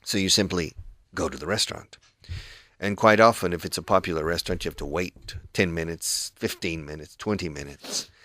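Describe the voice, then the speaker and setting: A man's voice: deep voice, brisk, bright, forceful tone, dry recording. A middle-aged man hosting a radio English lesson, patiently explaining phrases and switching between two languages. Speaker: English teacher and radio host.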